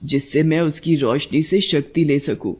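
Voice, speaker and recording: An actor voicing a bloodthirsty female witch in a horror story, gloating and menacing with drawn-out lines. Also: mid-range voice, darkish tone, breathy edge, close to an everyday voice. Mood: gloating menace. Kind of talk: Hindi animated horror storybook acting.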